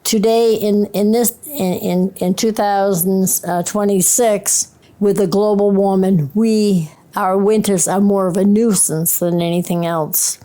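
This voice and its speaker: Woman, late 70s, classic Maine accent. Mellow, relaxed tone, casual interviewee. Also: medium pitch, unhurried. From Maine, USA. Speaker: interviewed grandmother.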